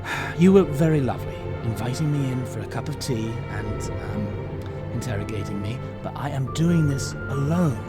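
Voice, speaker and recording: A man's voice: medium pitch, lively intonation, normal speed, over loud music, lightly acted. A man playing an eccentric, flippant alien time traveller in an amateur audio drama, with a playful, muttering manner. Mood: firm, grave.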